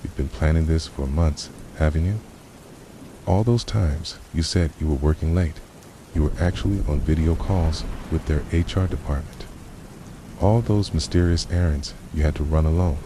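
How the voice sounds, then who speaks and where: A man playing a possessive, jealous boyfriend in an ASMR roleplay, speaking softly in an intense, accusing, dramatic way. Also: very deep voice, moderate pace. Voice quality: soft voice. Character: possessive, jealous yandere boyfriend confronting his partner. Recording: rain and thunder noise behind. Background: rain and thunderstorm ambience.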